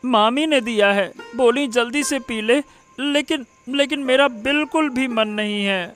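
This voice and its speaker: A man playing a feverish, plaintive young boy in a cartoon story, pleading haltingly. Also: extremely high pitch, fairly bright tone, soft background music. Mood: weakly stubborn. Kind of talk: Hindi cartoon story dialogue.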